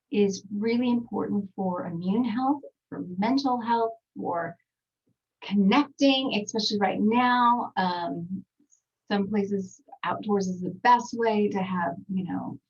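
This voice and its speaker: A woman's voice: medium pitch, slightly rough, recorded from a distance, dull audio. A woman in her forties with a Texas accent, presenting a casual online skincare talk in a chatty way with many fillers, from a small home studio. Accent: Texan. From Texas, United States. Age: 40s. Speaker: skincare trainer presenting a webinar.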